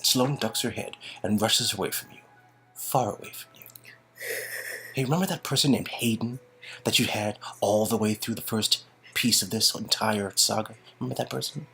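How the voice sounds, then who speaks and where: A man with a husky voice narrating a story game and improvising dry, halting, sarcastic asides. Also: lowish voice, bright, forceful tone, soft background music. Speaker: narrator and reader.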